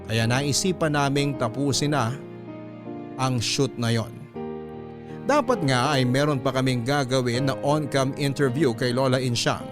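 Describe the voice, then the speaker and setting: A male actor voices a shaken young vlogger telling his ghost story for a radio drama. His mid-pitched voice is deliberate and dramatic, with clear music playing behind the narration. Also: lightly acted. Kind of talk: scripted first-person radio drama narration.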